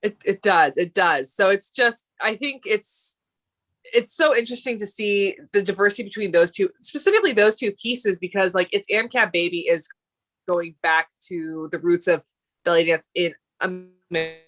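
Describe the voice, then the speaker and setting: A woman's voice: mid-range voice, normal speed, fairly bright tone. A woman co-hosting a live stream, chatting casually and rambling, with many fillers and restarts. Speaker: co-host of a dance talk stream.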